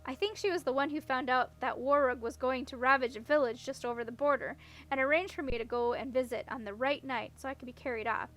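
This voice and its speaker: A woman's voice: sits high, quick pace, fairly bright tone. A woman voicing an awkward, unlucky young princess in a storybook, earnest and rambling as she explains herself. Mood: rueful. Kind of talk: audiobook character voice.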